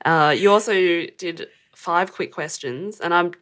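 A woman's voice: on the low side, measured pace, a bit bright. A woman interviewing a podcast host in a playful, teasing, casual way.

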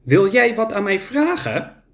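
A woman's voice: medium pitch, very animated, pitched above the performer's own voice. A man reading a storybook and voicing a frail, confused ninety-six-year-old woman in a care home. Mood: bewildered. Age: 90s. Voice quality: slow, hesitant, a little confused.

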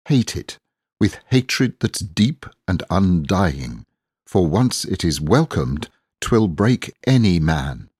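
A man reading inspirational verse aloud in a deliberate, earnest, exhorting manner. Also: low-pitched, sing-song, darkish tone, slightly rough, dry recording, clean studio sound. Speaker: poetry reader. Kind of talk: measured inspirational poetry recitation.

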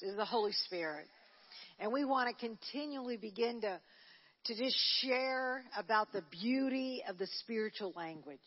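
A fairly high-voiced woman preaches a Pentecostal sermon, her words ringing out with a long echo around a church sanctuary. She talks loosely and restarts her sentences, then climbs into fervent, rousing delivery. The sound is muffled and lo-fi. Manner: conversational, with frequent restarts, rising to fervent preaching. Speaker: church minister leading a prayer service.

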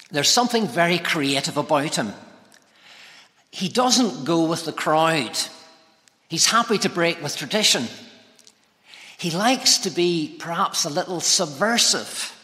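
A man preaching a sermon as a guest speaker, with a measured, deliberate delivery and emphatic phrasing. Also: a little high, expressive, pushed and bright. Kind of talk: sermon with prayer. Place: large reverberant church hall. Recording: long hall reverb.

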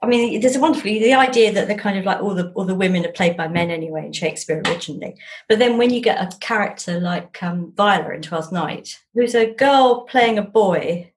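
Woman, English accent, middle-aged, medium pitch, casual interview guest, enthusiastic, emphatic. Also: brisk. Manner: chatty and enthusiastic, piling on emphatic words. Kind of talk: casual, jolly conversation.

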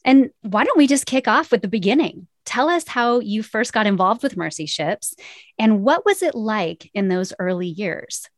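A woman's voice: medium pitch, animated, moderate pace, no room sound. Woman podcast host, close dry sound. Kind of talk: scripted introduction and interview hosting.

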